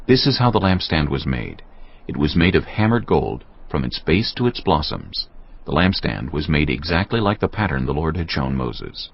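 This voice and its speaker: Very deep, gravelly male scripture narrator. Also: lo-fi sound. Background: music.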